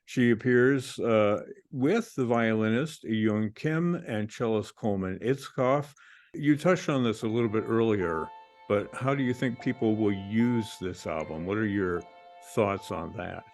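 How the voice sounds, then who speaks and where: A man hosting a classical music radio interview, asking measured, polite questions with small hesitations. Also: on the low side, darkish tone. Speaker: radio host.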